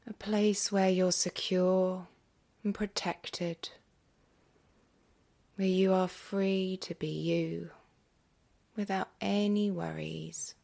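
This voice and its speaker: A woman leads a guided meditation in a lowish, breathy voice that often drops close to a whisper. Her delivery is very slow and gentle, with long pauses. There is a noticeable creak in her soft, soothing tone. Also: steady tone. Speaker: yoga nidra meditation guide.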